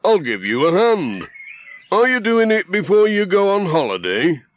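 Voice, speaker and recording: A man's voice: high-pitched, sing-song, normal speed, pitched above the performer's own voice. A man doing an easygoing handyman neighbour. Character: genial handyman neighbour, man, good-humoured.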